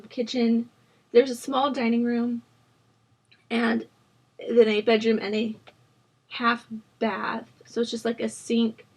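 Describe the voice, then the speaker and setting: A young woman in her twenties, hoarse from a sore throat, chatting casually and rambling with plenty of ums and likes while she narrates a building game. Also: a little high, darkish tone. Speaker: Sims building channel host. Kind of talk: casual voice-over chat during a speed build.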